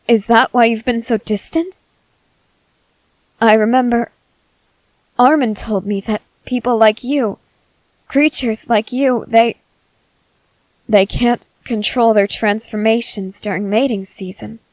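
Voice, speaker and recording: Girl voice acting a guarded young soldier woman, slow n hesitant, blunt but soft underneath, asmr roleplay, very dry sound. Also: on the high side, no room sound. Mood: regretful. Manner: halting, hesitant, earnest delivery.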